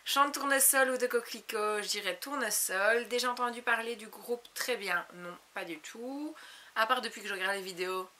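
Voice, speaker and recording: A woman's voice: on the high side, moderate pace, bright, forceful tone. A woman, chattily reading out questions and answering them in a casual vlog. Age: late 20s. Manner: reads each question aloud, then answers chattily. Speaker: YouTube vlogger.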